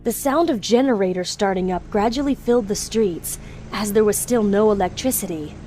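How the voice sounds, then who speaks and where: A woman's voice: mid-range voice, normal speed, warm tone, soft background music. A woman narrator reading a scripted story in an audio drama.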